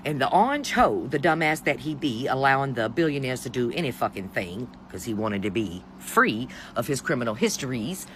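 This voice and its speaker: A middle-aged American commentator with very lively, swooping intonation rants in a sassy, exasperated manner, swearing freely and using pet names. The delivery is informal and opinionated, recorded with some background noise. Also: mid-range voice, normal speed, few pauses. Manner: sassy, profane, exasperated, addresses listener as honey and baby. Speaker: commentator giving opinions on the news. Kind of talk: informal opinionated rant to camera.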